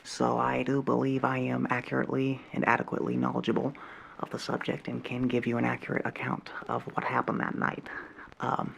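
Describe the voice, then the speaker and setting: A man voicing a nervous, nerdy male alien hobbyist who hesitates constantly in a soft-spoken roleplay. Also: mid-range voice, completely flat delivery, fairly quick, creaky, a little hiss or hum. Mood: awkward earnest. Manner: hesitant, full of ums and uhs.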